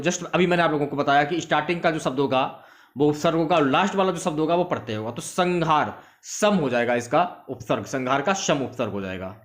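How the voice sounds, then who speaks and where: A man giving an informal exam-prep lecture, explaining questions and answers and repeating key phrases for students. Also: on the high side.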